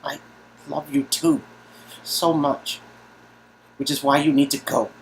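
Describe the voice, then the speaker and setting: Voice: mid-range voice, little pitch movement, slow pace, slight background noise, pitched above the performer's own voice, rough recording. A reader playing an earnest, protective man. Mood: urgent, tender. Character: devoted, urgent man protecting his beloved.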